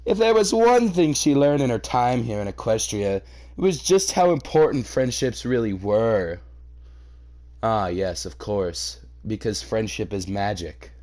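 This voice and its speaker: A man's voice: on the low side, expressive, a little slow, darkish tone. A man doing a comedic reaction reading, narrating a story aloud and breaking off into mocking, sweary, theatrically exasperated commentary. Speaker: host reading a fan fiction aloud and reacting.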